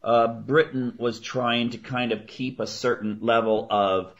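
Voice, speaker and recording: A man's voice: medium pitch, level tone, a little slow. A man hosting an interview, chiming in with brief affirmations and follow-up remarks. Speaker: interview host. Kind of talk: conversational interview. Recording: dull lo-fi sound.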